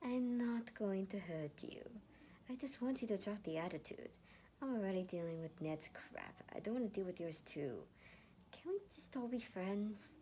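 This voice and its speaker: A woman voicing a feisty, blunt young female shapeshifter with a scolding, forceful delivery in a story reading. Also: mid-range voice, lively intonation, normal speed, slight background noise, lightly acted. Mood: stern, softer.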